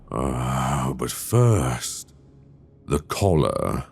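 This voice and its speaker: A man playing an imprisoned fallen king in an intimate ASMR roleplay, possessive, menacing and tenderly doting, with a seductive, regal, condescending manner on a close binaural microphone. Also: exaggerated voice. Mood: grand, scheming. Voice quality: very deep, gravelly.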